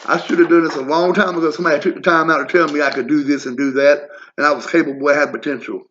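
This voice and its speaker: A man's voice: fairly high pitch, steady tone, very fast, fairly bright tone, slight background noise. A man in his forties talking bluntly and profanely in a rambling personal monologue, drifting into asides. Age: 40s. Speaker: vlogger. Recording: lo-fi muffled audio.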